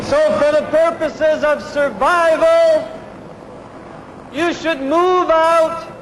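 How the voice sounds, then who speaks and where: A man's voice: extremely high pitch, fairly even intonation, takes it slowly, a little hiss or hum, poor audio. A man leading protesters in a park, giving urgent instructions to a crowd outdoors. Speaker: protest leader.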